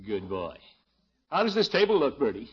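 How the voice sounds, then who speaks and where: An actor playing a pompous, blustery middle-aged uncle and small-town official in a 1950s radio comedy, with a theatrical delivery. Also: male voice, mid-range voice, big pitch swings, moderate pace, breathy edge. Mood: pleased, self-important. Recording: dull, noisy old radio recording.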